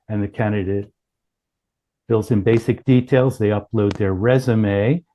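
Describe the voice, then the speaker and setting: A man's voice: mid-range voice, mellow, low-quality recording. A man giving an informal product presentation as a company founder, explaining things with frequent self-corrections.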